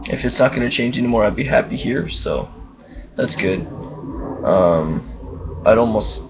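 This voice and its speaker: A young man with a very low voice, talking candidly in a casual video diary, full of ums and uhs. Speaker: vlogger. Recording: dull lo-fi camera audio, faint hum.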